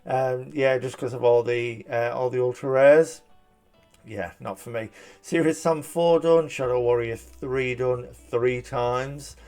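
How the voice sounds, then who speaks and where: A man's voice: medium pitch, steady tone, unhurried, a little mellow, soft background music. Chatty man reviewing trophies. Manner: chatty, rambling, frequent fillers and restarts. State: slightly hoarse. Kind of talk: casual commentary.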